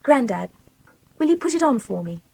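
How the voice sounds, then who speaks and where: A playful, loving young granddaughter in a 1980s radio drama. Her voice is soft and slightly husky, fairly high, and moves expressively up and down. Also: very animated, moderate pace, heavily acted. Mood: affectionate, pleased. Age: young adult. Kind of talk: naturalistic radio drama acting.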